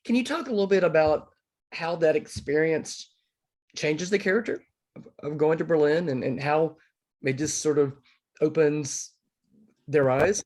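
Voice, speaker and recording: An interviewer asking questions with frequent affirmations and an expressive delivery. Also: medium pitch, unhurried, pauses often.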